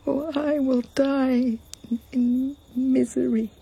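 An actress playing a grieving, vengeful young princess in a classical tragedy, giving an impassioned, bitter lament that sometimes drops to a whisper. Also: female voice, high-pitched, fairly even intonation, slow, creaky, mellow, husky, dull audio, heavily acted. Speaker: stage actor. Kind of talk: classical tragedy stage acting.

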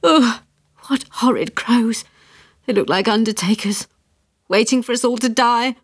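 A woman's voice: a little high, measured pace, pitched above the performer's own voice. Woman acting out a wailing, melodramatic, bitter woman. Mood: wailing despair.

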